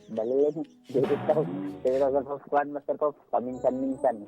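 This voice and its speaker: A man's voice: mid-range voice, fairly even intonation, a little fast. A man calling a radio show, chatting casually and searching for his words. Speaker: radio show caller requesting a song. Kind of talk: casual phone chat. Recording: dull lo-fi phone call on radio. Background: faint music.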